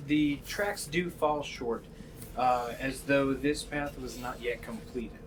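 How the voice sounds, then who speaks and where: A man narrating a tabletop game as game master, describing scenes in a halting, improvised way. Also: medium pitch. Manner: halting, pieces descriptions together as he goes. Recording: clearly noisy room sound.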